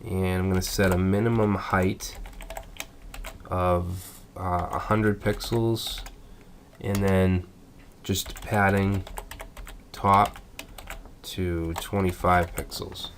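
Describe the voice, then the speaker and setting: A man with a stuffed-up voice from a cold, calmly explaining web code step by step in a screencast tutorial. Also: sits low, slow, long pauses, slight background noise. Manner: methodical, thinking aloud with fillers. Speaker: coding tutorial instructor.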